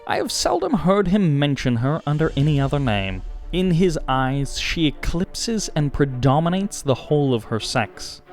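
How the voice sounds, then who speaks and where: A man reading scripted literary narration for an audiobook, with measured, dramatic phrasing and pauses. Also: mid-range voice, darkish tone.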